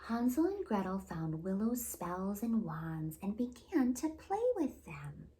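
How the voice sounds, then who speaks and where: A woman hosting storytime, reading a children's picture book aloud in an expressive, deliberate storytelling delivery. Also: medium pitch, low-quality recording. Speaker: storytime host and reader.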